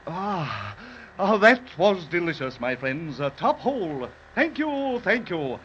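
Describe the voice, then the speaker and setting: An actor playing a pompous, sly, freeloading stork in 1930s radio serial style, with flowery, affected, hemming speech. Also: male voice, high-pitched, very animated, slow pace, fairly bright tone, exaggerated voice. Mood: satisfied, breezy. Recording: muffled, noisy 1930s radio recording.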